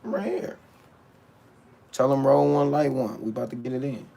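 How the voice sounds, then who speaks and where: A man's voice: mid-range voice, normal speed, vocal fry. Blunt, stammering man ranting on a stream. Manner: blunt and animated, with stammered restarts; talks back to his chat. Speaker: battle rapper streaming to his chat. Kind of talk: casual livestream rant.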